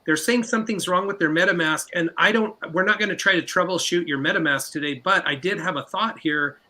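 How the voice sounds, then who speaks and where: A man's voice: high-pitched, fairly quick, bright, forceful tone. A man hosting a live stream, giving casual advice with some filler words. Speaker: host.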